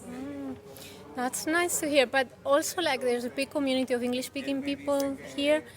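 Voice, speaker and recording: A woman's voice: high-pitched, fairly even intonation, moderate pace. A woman publisher asking friendly, chatty questions in a casual outdoor interview. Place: outdoors outside a bookshop.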